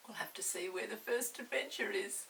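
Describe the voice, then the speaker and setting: A woman's voice: medium pitch, moderate pace, creaky. An older woman narrating a children's story expressively, with dramatic touches. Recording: distant mic with hiss.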